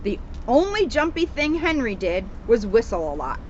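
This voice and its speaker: A woman reading a children's storybook aloud in an animated, expressive way, with emphasis on the sound words. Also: a little high, moderate pace. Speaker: storybook reader, police officer. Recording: noisy, dull outdoor recording.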